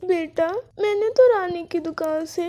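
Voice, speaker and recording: Old mother crow for a cartoon, done by a narrator: female, motherly, soft-toned, high, mildly scolding. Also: a little slow. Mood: reproving. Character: kindly, reproving elderly mother crow. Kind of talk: children's cartoon story dialogue.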